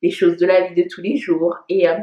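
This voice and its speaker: A woman's voice: lowish voice, little pitch movement, brisk, dull audio. A woman in her thirties, originally from Congo, talking in a casual personal vlog, reflective and hesitant with many fillers. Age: 30s. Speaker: vlogger. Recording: echoey room.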